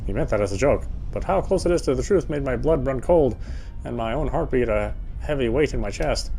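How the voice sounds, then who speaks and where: A man's voice: mid-range voice, lively intonation, a little fast, creaky, mellow, faint music behind. A man reading visual novel narration aloud expressively, with casual asides.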